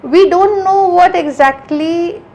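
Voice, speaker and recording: A woman with a notably high voice speaks earnestly in a relaxed interview. She is an art conservator from India who thinks aloud, circling back and rephrasing as she goes. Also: takes it slowly, slight background noise. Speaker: art conservator, podcast guest. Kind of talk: casual interview conversation.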